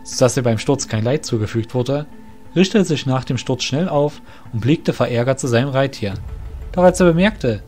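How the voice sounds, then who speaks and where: A man's voice: medium pitch, a little mellow. A man narrating a scripted fantasy audio drama as storyteller. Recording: music under the voice.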